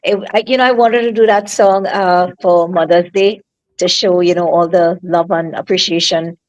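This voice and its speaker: A woman singer from Trinidad with a Trinidadian accent, answering interview questions over a remote call in a soft, halting way with many fillers and restarts. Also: on the low side, steady tone, muffled recording. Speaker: singer guest.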